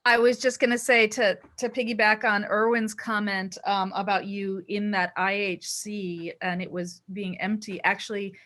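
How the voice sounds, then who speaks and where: A middle-aged woman with a bright, forceful voice at a medium pitch. She talks informally and deliberately on a video call. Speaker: actor. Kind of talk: casual post-show discussion. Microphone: laptop video call.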